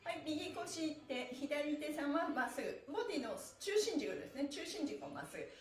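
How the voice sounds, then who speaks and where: A middle-aged woman leading a live stretching class in a cheerful, encouraging, energetic manner, recorded in a small room. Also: sits high, brisk. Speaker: stretching instructor. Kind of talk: live exercise class with counted chants. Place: small bare-walled room indoors. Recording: roomy indoor echo.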